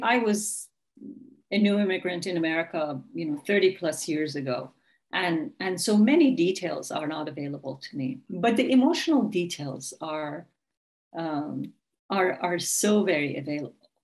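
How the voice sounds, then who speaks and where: A middle-aged woman from Iran, an author talking as a guest over a video call, thoughtful, with many fillers and restarts. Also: on the low side. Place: home room with bookshelf.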